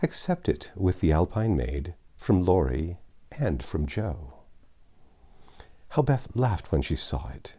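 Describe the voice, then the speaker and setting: A man narrating a classic novel aloud in a measured, expressive storytelling manner. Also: deep voice, husky. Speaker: audiobook narrator.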